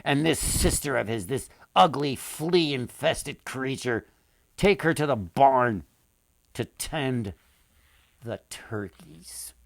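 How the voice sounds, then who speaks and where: Guy doing an angry fairy-tale king barking orders, slow and deliberate, dry sound. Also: medium pitch, lively intonation, creaky, no echo. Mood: furious.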